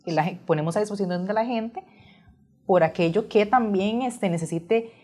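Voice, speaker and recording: A woman's voice: medium pitch, moderate pace, warm tone. A woman, hosting a podcast in a conversational way. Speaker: podcast host.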